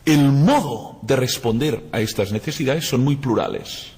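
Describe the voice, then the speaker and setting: A man's voice: medium pitch, lively intonation, moderate pace, darkish tone. A man whose first language is Catalan gives a university lecture, reflective and explaining through analogies and rhetorical questions. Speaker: guest lecturer. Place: lecture hall. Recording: echoey lecture hall, husky voice.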